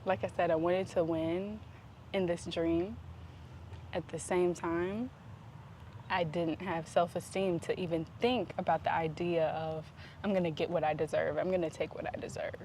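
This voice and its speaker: A woman talking in a casual, reflective vlog style, earnest and introspective, with deliberate pauses. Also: on the low side. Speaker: vlog host. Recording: clear steady background noise.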